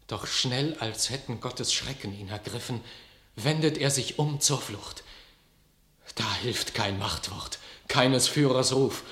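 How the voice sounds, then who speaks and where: An actor playing a knight vividly recounting a miracle in battle in a classic radio play, in an awed, declamatory manner. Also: male voice, medium pitch, fairly bright tone. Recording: roomy studio radio recording.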